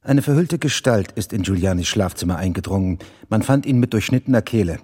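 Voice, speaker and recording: A formal, long-winded town messenger in a German radio play. His lowish voice rings in a long hall reverb as he delivers each statement ceremoniously. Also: animated, a little fast, a little mellow, husky. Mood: grave. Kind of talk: German radio drama acting.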